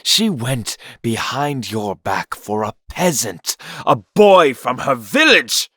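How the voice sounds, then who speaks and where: A man's voice: mid-range voice, sing-song, slow, sharp tone, no room sound, clean studio sound, exaggerated voice. A man playing a cold, proud, bitter prince in a theatrical, menacing audio drama style.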